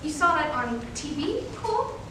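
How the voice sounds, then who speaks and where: A woman playing a gentle, patient male child psychologist in staged reader's theater, measured and coaxing. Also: very animated. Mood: probing, gentle. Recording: echoey room, distant mic, faint rumble.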